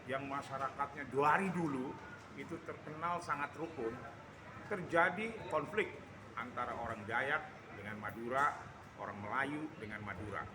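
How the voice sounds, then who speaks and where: A man from Java, explaining his views conversationally as an interview guest, often checking that the listener follows. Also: mid-range voice. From Java, Indonesia. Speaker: interview guest giving political opinion. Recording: roomy, echoey sound with background noise.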